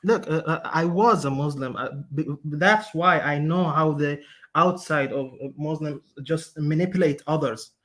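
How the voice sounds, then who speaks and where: A man calling in to a debate, speaking in halting, broken English full of fillers. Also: a little high, a bit bright. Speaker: caller. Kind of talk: hesitant debate talk.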